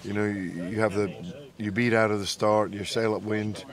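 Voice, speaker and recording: A man's voice: on the low side, little pitch movement, normal speed. A man, a yacht sailor describing a race in an easygoing, rambling way, outdoors at night. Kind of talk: post-race interview.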